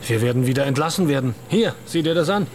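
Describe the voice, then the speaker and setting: A man's voice: on the high side, animated, quick pace, a little mellow, a little hiss or hum, natural voice. An actor playing a worried male shop worker who suspects his friend. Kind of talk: radio drama acting.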